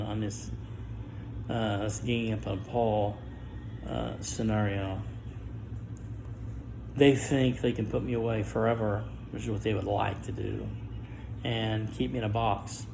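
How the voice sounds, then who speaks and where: A middle-aged man speaking a personal monologue to camera, guarded and halting, with stammered restarts and long pauses. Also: on the low side, gravelly, background noise. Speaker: vlogger.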